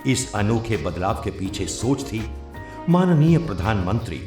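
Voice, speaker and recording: Animated male narrator over music. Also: on the low side, a little slow. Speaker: narrator of a government training film. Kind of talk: formal scripted documentary narration. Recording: roomy echo, music behind. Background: background music.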